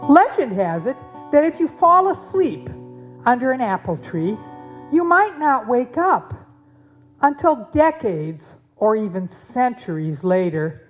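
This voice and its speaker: Lively-pitched female storyteller, slightly muffled. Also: unhurried, faint music behind. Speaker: puppet show narrator. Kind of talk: scripted storytelling narration.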